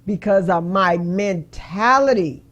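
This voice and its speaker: A woman hosting a casual talk show. She asks probing questions and talks in a halting way, with frequent restarts. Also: mid-range voice, a bit bright, highs cut off. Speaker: host.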